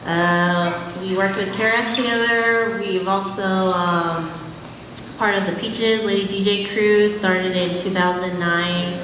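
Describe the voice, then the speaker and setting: A woman's voice: medium pitch, steady tone, unhurried. A woman DJ and mother giving a friendly, list-like introduction on a panel. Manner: friendly, listing credits. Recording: echoey hall from far off with noise.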